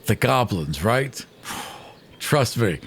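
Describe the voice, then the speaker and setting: Man playing a boastful, theatrical bard, lively pitch. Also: very slow, a little hiss or hum, exaggerated voice. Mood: boastful, teasing. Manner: grandiose, theatrical phrasing. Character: boastful, jovial male bard back in his hometown.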